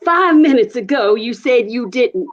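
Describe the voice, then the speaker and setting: A woman plays a stern, easily flustered judge in an old-time radio drama. The voice is high, and the live-stream recording is somewhat dull and muffled. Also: expressive, measured pace. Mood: questioning. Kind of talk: 1940s-style live radio play acting.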